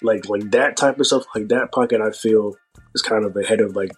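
An American man speaking casually as an interview guest, with a halting delivery and a slight speech impediment. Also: fairly low pitch, husky, soft background music. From Georgia, United States. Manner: halting, filler-heavy delivery with self-described speech impediment. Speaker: podcast guest, hip hop artist.

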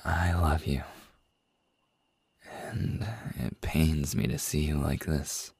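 A man acts out a tender male boss, hopelessly in love, in an intimate ASMR audio roleplay. His voice is very low, husky and breathy. He talks slowly and softly, hesitating between phrases as he opens his heart. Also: steady tone, no echo, full character voice. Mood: pained, loving. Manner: soft, hesitant, slow with long pauses. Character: tender, lovestruck male boss confessing to an employee.

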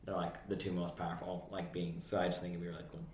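A young man, a podcast co-host and worship leader, a little hoarse and raspy, chatting in a laid-back way and laughing often. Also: low voice, completely flat delivery, fast talker, a little mellow. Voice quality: slightly raspy. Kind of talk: casual chat. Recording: roomy echo.

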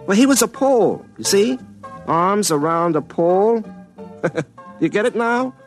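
Folksy, animated young telephone lineman. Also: high-pitched, a little slow, faint music behind. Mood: sheepish, joking. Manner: rambling, colloquial, joking. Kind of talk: 1950s radio comedy acting.

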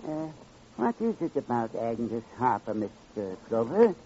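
An actor playing a mild, henpecked justice of the peace, placating and formal, in an old-time radio crime drama. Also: male voice, mid-range voice, very animated, unhurried, warm tone. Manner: placating, formal, ornate phrasing. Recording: muffled, noisy vintage radio recording.

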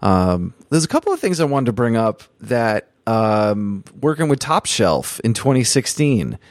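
A man hosting a podcast interview, speaking in measured, thoughtful turns and building long reflective questions. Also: medium pitch, sing-song, no echo, clean studio sound. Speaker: podcast host. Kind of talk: casual interview chat.

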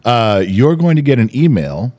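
A man's voice: mid-range voice, very animated, moderate pace, mellow, dull audio. A middle-aged man co-hosting a podcast, chatting casually and telling stories with self-mocking jokes. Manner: joking, self-mocking storyteller. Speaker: podcast co-host. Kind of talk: casual chat.

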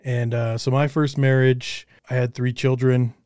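A man's voice: medium pitch, little pitch movement, unhurried, darkish tone, clear, resonant tone, no room sound, studio quality. A man hosting a casual interview, affirming his guest with short encouraging replies. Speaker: interview host.

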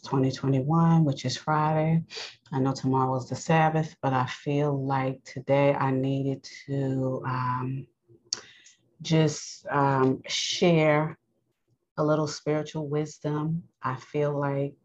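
Low-voiced preaching woman from New York. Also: fairly even intonation, muffled recording. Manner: earnest, unhurried, with many pauses and fillers. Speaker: podcast host and preacher. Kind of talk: informal spoken sermon.

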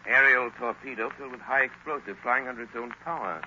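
Knowledgeable 1930s radio gentleman detective: crisp forceful tone, fast, mid-pitched, urbane. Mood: assured, explanatory. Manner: articulate, explanatory. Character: suave, knowledgeable gentleman amateur crime investigator. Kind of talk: 1930s radio drama acting. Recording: noisy muffled 1930s radio recording.

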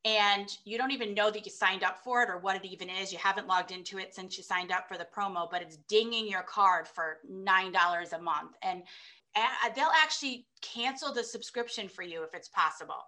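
A woman guest in an interview, brisk and explanatory as she gives money advice. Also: medium pitch, sharp tone. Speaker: guest, financial adviser.

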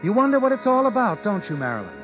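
An actor playing a sly, knowing narrator who needles in an insinuating way, in an old-time radio mystery. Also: male voice, high-pitched, wildly swooping pitch, fast talker. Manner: insinuating second-person address. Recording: muffled vintage radio broadcast. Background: music.

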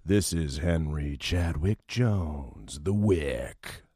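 A man's voice: rumbling low, lively intonation, extremely slow, warm tone, husky, no room sound, studio quality, exaggerated voice. An actor playing a smug small-town radio DJ, delivering his show patter. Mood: smooth sign-off. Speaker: radio host.

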